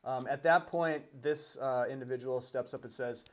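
A man's voice: mid-range voice, steady tone, normal speed. Guy running a d&d game over a home mic, loose and rambly, ums everywhere. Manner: loose, hesitant with fillers, swears casually. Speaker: dungeon master. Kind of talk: narrating and voicing a tabletop game.

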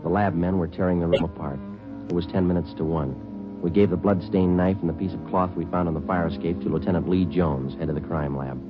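An actor playing a terse, matter-of-fact police detective, deadpan, in clipped old radio drama style on an old radio recording. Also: male voice, low-pitched, brisk, dull audio, music in the background, close to an everyday voice. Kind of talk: 1940s-50s radio acting, clipped narration.

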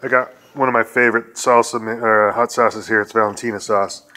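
A man's voice: fairly low pitch, steady tone, brisk. A middle-aged man hosting a casual home cooking video, talking through each step in a rambling, folksy way with lots of fillers. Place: home kitchen counter, indoors. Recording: home kitchen with loud cookware clatter. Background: clattering pots, pans and cans.

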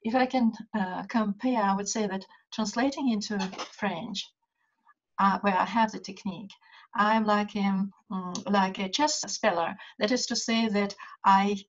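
A woman's voice: medium pitch, fairly even intonation. Older Russian-accented woman, thoughtful. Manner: measured, thoughtful, many hesitations. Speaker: writer and translator. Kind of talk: conversation over video call. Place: home study with bookshelves. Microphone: video call. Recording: dull lo-fi video call audio.

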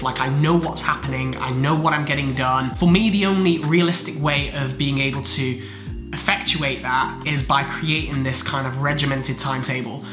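A young man in his twenties, congested from a chest infection, talking casually to camera in a home study room about his weekly routine, gesturing a lot. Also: a little high. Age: 20s. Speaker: medical student vlogger. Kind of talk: casual talking-head vlog. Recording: roomy, with background music.

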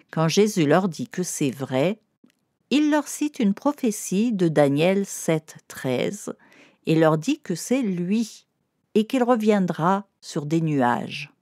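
Slow, low-voiced woman narrating for children. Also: a little mellow, dry recording, studio quality. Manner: didactic, simple, addressing one child directly. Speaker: narrator of children's Bible lessons. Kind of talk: scripted narration explaining to children.

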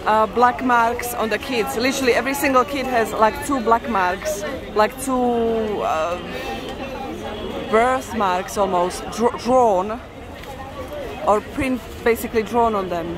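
A woman's voice: on the high side, a little slow. A woman travel vlogger giving casual, enthusiastic walking-tour commentary outdoors. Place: outdoors on a crowded market street. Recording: outdoor street with heavy crowd and traffic. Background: busy crowd, vehicles.

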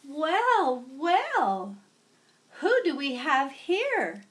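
Storybook role: kindly old schoolmistress played by a woman, slow, sing-song delivery, patient with little ones. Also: sits high, very animated, voice pushed up, heavily acted. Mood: welcoming. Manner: sing-song, patient, addressing small children.

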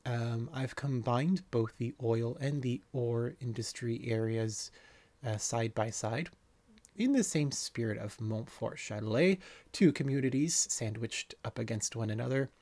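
Man, thirties, gravelly and dry, laid-back rambling game tour. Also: medium pitch, unhurried, dry recording. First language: not French. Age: 30s. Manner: relaxed, rambling, many ums and uhs. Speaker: city-builder game creator. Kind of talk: casual game tour narration.

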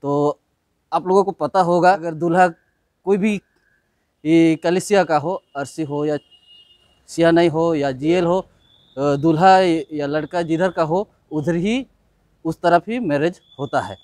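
A man's voice: a little high, unhurried, long pauses, a little mellow. A man, giving casual vlog commentary to his viewers. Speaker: vlogger. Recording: music behind the voice.

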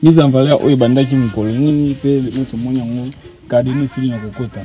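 A man speaks as a field reporter, recorded outdoors on open land. He talks in an animated way at an ordinary pitch, with music running clearly behind him. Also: quick pace. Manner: animated, switching between Lingala and French.

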